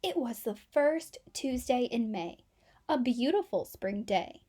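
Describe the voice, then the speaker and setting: Casting: female storyteller for children, high voice, very lively intonation, measured pace. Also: breathy edge. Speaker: children's book reader. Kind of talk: expressive scripted storytelling for children.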